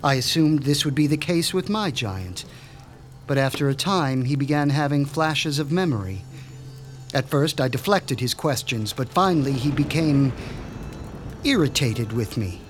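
A man's voice: mid-range voice, expressive, faint music behind, lightly acted. A man playing a menacing mad doctor. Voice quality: measured, menacing, pompous. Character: man, a sinister mad scientist doctor seeking revenge. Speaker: Dr. Stein. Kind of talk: radio drama acting.

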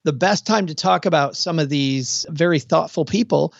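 A middle-aged man co-hosting a podcast, chatting casually and at length, with a relaxed, talkative manner and the odd pause. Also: on the high side, creaky, no room sound. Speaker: podcast co-host. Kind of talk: casual conversational chat. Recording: dull, lo-fi dry sound.

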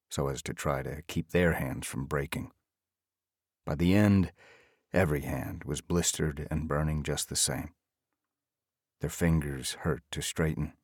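A man with an extremely deep voice reads literary fiction as an audiobook narrator. His delivery is assured and restrained, letting the text carry itself, in a very dry studio-like sound. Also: no room sound.

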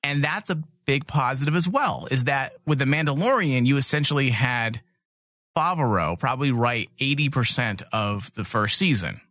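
A man with a bright, forceful voice hosts a casual fan podcast discussion. He speaks bluntly and swears freely, and the sound is close and dry. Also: medium pitch, animated, normal speed, no room sound. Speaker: podcast host.

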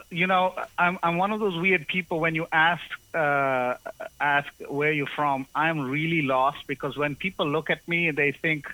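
Guy who grew up in zambia, bright kinda tense voice, lots of uhs and restarts, sounds like radio. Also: a little high, no room sound, poor audio. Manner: hesitant, many fillers and restarts. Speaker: guest, university professor. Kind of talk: conversational interview.

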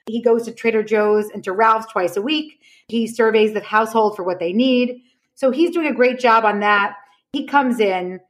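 A woman's voice: on the high side, normal speed. A woman explaining her ideas conversationally as a podcast guest, telling anecdotes emphatically and acting out reported dialogue.

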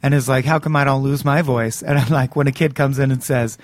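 A man guesting on a podcast, with a gravelly, fry-heavy voice hoarse from podcasting all day; he jokes and laughs often. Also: medium pitch, fairly even intonation, a little fast.

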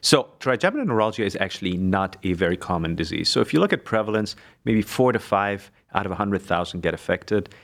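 Deep voiced guy from egypt explaining nerve pain stuff, calm and technical. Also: brisk, gravelly, few pauses. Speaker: neurologist guest. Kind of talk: expert medical interview.

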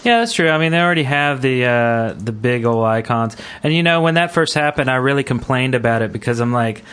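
A man co-hosting a gaming podcast in casual banter, talking in an excitable, jokey way. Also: mid-range voice, fairly quick, smooth. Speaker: podcast co-host.

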